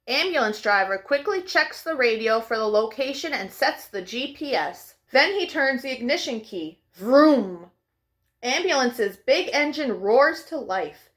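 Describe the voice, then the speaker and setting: A woman's voice: high voice, fairly bright tone. A woman hosting a children's storytime, reading picture books aloud expressively, with playful sound effects. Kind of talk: animated picture-book read-aloud for young children.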